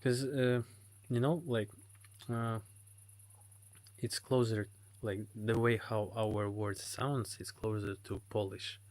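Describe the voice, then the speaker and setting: A man's voice: lowish voice, steady tone, takes it slowly, darkish tone, no room sound. A Ukrainian man with a Ukrainian accent, hosting a live stream and answering chat comments in hesitant English full of fillers. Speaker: livestream host. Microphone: phone.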